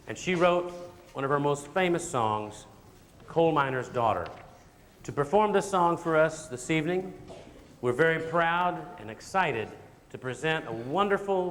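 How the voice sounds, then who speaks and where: A man's voice: on the high side, a bit bright. Man hosting in an echoey, noisy hall. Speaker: host of a school stage show. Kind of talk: live stage introduction. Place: large indoor hall, live show. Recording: echoey hall, noisy live stage recording.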